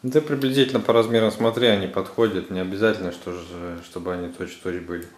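A man's voice: low-pitched, steady tone, quick pace. A man chatting casually with small children, guiding them with encouragement and short checking questions. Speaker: parent filming and guiding children's craft. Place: living room at home, behind the camera. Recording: echoey room, off-camera.

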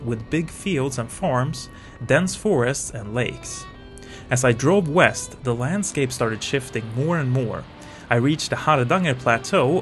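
A middle-aged man from Sweden narrating his motorcycle travel vlog in a calm, measured voice-over. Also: mid-range voice. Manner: calm, informative, measured delivery. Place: voice-over, not recorded on the road. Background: faint music.

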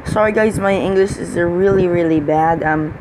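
A man from the Philippines with a Filipino accent, a non-native English speaker, giving casual let's-play commentary in a halting way, with many fillers and restarts. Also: sits high, background noise. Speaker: gaming channel host.

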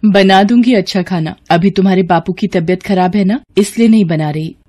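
A woman's voice: medium pitch, a little fast, mellow, natural voice. Earnest, worried young wife voiced by a woman. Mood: troubled.

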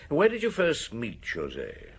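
A man's voice: fairly low pitch, wildly swooping pitch, measured pace, creaky, husky, exaggerated voice. An actor playing a shrewd, weary middle-aged male police detective in a radio drama, questioning people in a probing, matter-of-fact way. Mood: dry, questioning.

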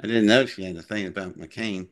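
Low-voiced older man chatting. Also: fairly quick. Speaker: guest. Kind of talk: casual chat, telling anecdotes. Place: living room on a sofa, on a video call. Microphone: device mic at some distance, video call.

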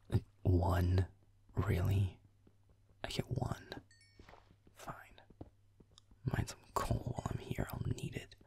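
A man playing a grumpy, sarcastic werewolf boyfriend in an audio roleplay, muttering dry game commentary in a husky, whispery voice. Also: darkish tone, exaggerated voice. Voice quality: husky, gravelly, whispery low voice.

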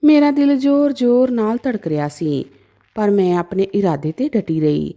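A woman's voice: medium pitch, animated, normal speed, mellow. A woman narrating a dramatic story in the first person as a storyteller.

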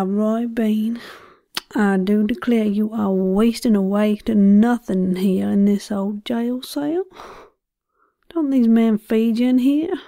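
Woman playing slow, mellow frontier sweetheart. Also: medium pitch, no echo, studio quality. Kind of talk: audio drama acting.

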